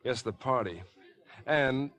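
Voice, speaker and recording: A man's voice: medium pitch, slow, a bit bright. An actor playing an uneasy, guarded young man in a 1950s radio drama, curt and tense in manner. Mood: evasive. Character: tense, uneasy young sweetheart hiding a secret. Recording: vintage radio broadcast with crowd noise.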